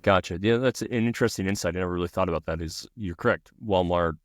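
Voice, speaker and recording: Deep-voiced male podcast host. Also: quick pace, no room sound, clean studio sound. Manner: relaxed, brief affirmations, open questions. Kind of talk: casual interview hosting.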